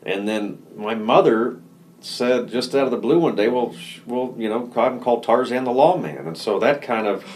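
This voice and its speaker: A man talking casually in an interview as a lawyer, relaxed, laughing easily and restarting his sentences. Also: medium pitch, moderate pace, a little hiss or hum, rough recording. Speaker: lawyer, firm co-founder.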